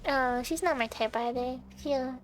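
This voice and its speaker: A woman's voice: high voice, measured pace. A sleepy woman livestreamer reacting to a game and chatting casually with viewers in a playful, teasing manner. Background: game music.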